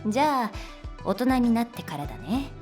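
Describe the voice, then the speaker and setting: Breezy, blunt female teacher, lively mid-pitched voice, teasing and casual, audio drama with faint music behind. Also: normal speed. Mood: teasing, gentle. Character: easygoing, teasing adult woman teacher who loves beer. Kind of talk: Japanese voice drama acting.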